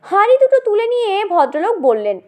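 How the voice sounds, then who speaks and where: A woman narrating a comic story in an expressive, dramatized reading style. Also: extremely high pitch, a little fast.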